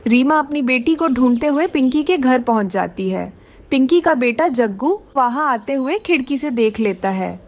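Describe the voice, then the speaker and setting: A woman's voice: high-pitched, animated, moderate pace. A woman giving scripted narration for a children's cartoon story.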